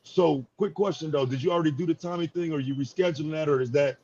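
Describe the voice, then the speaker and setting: A man's voice: on the high side, fast talker, mellow. Casual man, dull noisy audio. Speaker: panelist. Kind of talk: casual slangy chat.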